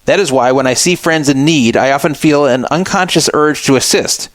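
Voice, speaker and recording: A man's voice: fairly high pitch, normal speed, no echo. A man hosting a talk radio show, giving opinionated commentary and scoffing sarcastically at a statement he reads aloud.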